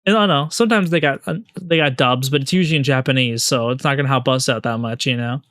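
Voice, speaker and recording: Male co-host, mid-pitched and clean-toned, informal explainer who stumbles and repeats himself. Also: fairly bright tone, dry recording. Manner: halting, repetitive, peppered with "you know". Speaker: podcast co-host.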